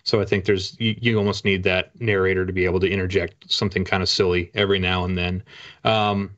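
A man's voice: low-pitched, level tone, fast, fairly bright tone. A middle-aged man talking casually as an interview guest, hesitant and wry, over a video call. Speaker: guest, author. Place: book-lined room at home. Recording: dull lo-fi video call audio.

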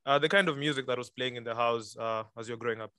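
A man's voice: mid-range voice, fast, sharp tone, smooth, no echo, clean studio sound. A man moderating a webinar interview, asking questions with frequent hesitations and fillers. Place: room at a lectern.

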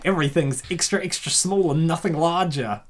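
A woman hosting casual comedic commentary in an excitable, theatrical, playful way. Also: sits low, a little fast. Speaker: host reacting to a web comic.

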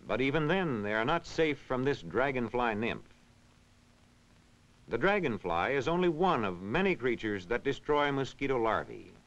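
Dull old film soundtrack, guy narrating a school science film, very lively up and down pitch, careful diction. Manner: measured, instructional, clearly enunciated. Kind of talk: scripted narration, vintage educational film. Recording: dull, band-limited vintage film soundtrack.

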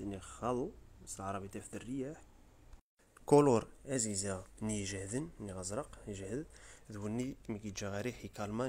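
A man's voice: on the low side, a little mellow, a little hiss or hum. A man with a Riffian accent, from the Rif region of Morocco, giving an informal step-by-step tutorial as a voice-over. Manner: brisk, informal, step-by-step explaining. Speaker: tutorial presenter. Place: voice-over, not the pictured scenes.

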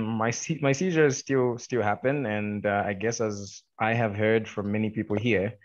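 A Zambian man with a fairly low voice talks casually and with humor, trailing off into ums and uhs. The sound comes through a dull, lo-fi video call line. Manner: relaxed, joking, many fillers. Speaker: invited speaker. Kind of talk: online meeting talk.

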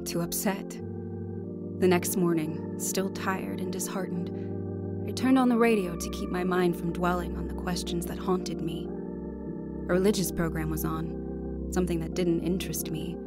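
A woman's voice: on the low side, steady tone, normal speed, loud music behind the voice, close to an everyday voice. An actress playing a troubled woman haunted by fear of death, earnest and anguished in a radio drama. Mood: somber, reflective. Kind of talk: radio drama acting with first-person narration.

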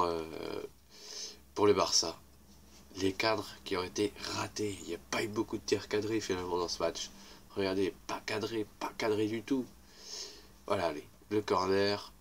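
A young man with a cold and a congested, weak voice casually commenting on football highlights, rambling and repeating himself. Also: a little hiss or hum. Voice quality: congested, little voice. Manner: rambling, repeats "regardez" and "voilà". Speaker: YouTuber commenting match highlights.

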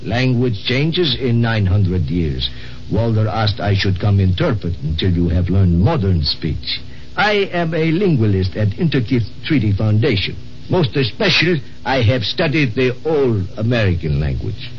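An actor playing a courteous, scholarly linguist of the future with formal, stilted phrasing in a 1950s radio drama. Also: male voice, lowish voice, sing-song, unhurried. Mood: helpful. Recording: dull, noisy old radio recording.

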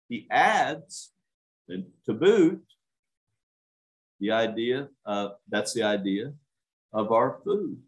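An older male minister preaches slowly to a small home congregation, his pitch rising and falling widely as he leans on key words. He sounds earnest and emphatic, speaking into a webcam from a small room at home. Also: poor audio. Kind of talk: home church sermon.

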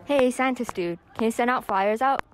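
A woman's voice: on the high side, moderate pace, lightly acted. A young teenage girl playing a tough, blunt zombie survivor in an audio drama. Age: young teen. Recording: amateur recording with faint noise.

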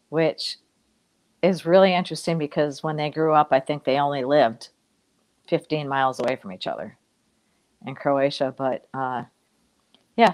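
A middle-aged woman, a guest on a video call, telling family stories in a rambling, reflective way from a room at home. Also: sits low. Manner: warm, rambling, reflective storytelling. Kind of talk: casual storytelling in an interview. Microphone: webcam on a video call.

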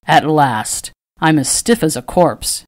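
Woman playing a young storybook lord, lowered voice, gruff, wry, teasing, grumbling. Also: measured pace, darkish tone, no echo, studio quality. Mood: grumbling relief.

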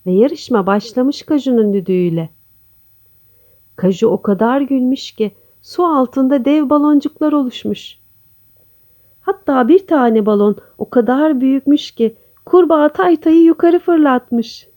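A woman storyteller reading a scripted children's bedtime tale in a gentle, expressive manner. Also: high voice, unhurried.

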